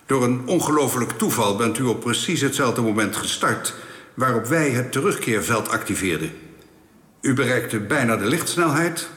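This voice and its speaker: Actor as formal alien official in echoing hall. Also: male voice, mid-range voice, animated, a bit bright, close to an everyday voice. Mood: formal, polite. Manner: formal, measured, polite. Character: courteous, matter-of-fact alien official man explaining his planet's laws. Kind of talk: Dutch science fiction radio play acting. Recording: echoey reverberant hall effect.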